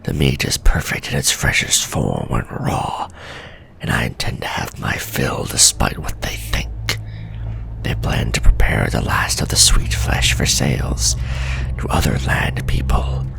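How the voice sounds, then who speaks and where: A man voicing a male scholar sliding into madness, whispering a fevered, gloating journal in a hushed ASMR horror roleplay. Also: a bass voice, heavily acted. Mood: menacing. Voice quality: gravelly whisper.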